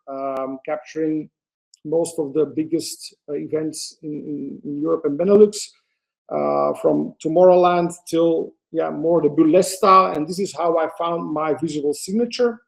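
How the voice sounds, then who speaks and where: Gravelly Belgian man hosting a webinar. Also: a little high, rough recording. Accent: Belgian Dutch. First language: Dutch. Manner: hesitant, frequent fillers and restarts, non-native phrasing. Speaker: photographer presenting a webinar. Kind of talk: presentation to an online audience.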